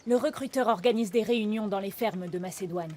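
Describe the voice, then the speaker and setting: A woman's voice: medium pitch, a little fast, a little mellow, a little hiss or hum, natural voice. A woman acting a determined young huntress in a dubbed video game, earnest and urgent. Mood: serious, resolute. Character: determined young huntress worried for her stubborn father.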